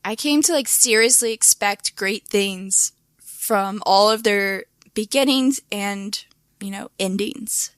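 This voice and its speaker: A woman co-hosting a podcast in casual chat, with a hoarse voice and lots of filler words. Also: mid-range voice, takes it slowly, fairly bright tone, no echo. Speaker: podcast co-host.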